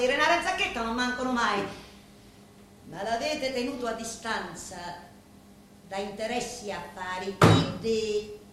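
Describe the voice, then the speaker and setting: A woman's voice: fairly high pitch, natural voice. Echoey, proud Sicilian woman, played by an actress. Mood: bitter, insistent. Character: proud, determined young Sicilian woman bargaining with a crime boss. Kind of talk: theatrical monologue in a radio play. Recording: very echoey room, faint background noise.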